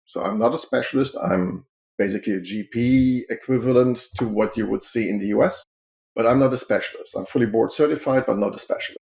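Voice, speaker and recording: A middle-aged man from Germany, a healthcare consultant, answering interview questions in a measured, explanatory way with frequent fillers. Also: medium pitch, gravelly, a little mellow.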